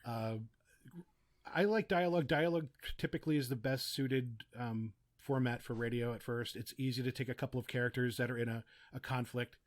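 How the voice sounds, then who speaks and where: A man's voice: mid-range voice, steady tone, a little fast. Chatty man giving casual interview advice. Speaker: podcast guest, audio drama writer and producer.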